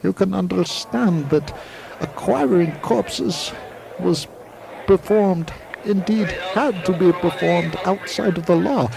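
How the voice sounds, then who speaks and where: An eccentric, secretive physics professor, played by a man in a 1980s audio drama. His male voice is highish and heavily creaky, with courtly, pedantic phrasing. A busy crowd surrounds him on the old recording. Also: slow pace, exaggerated voice, rough recording. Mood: lecturing, pleased. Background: racetrack crowd.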